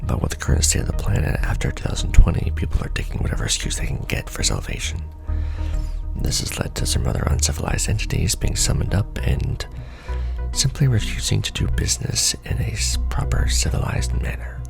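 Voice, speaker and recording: A man performing an ASMR roleplay as a seasoned, wry secret-society official, talking softly and casually with a gravelly, breathy undertone. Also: extremely low pitch, wildly swooping pitch, over music. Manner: wry, casual, unhurried explaining. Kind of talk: ASMR roleplay monologue, soft conversational briefing.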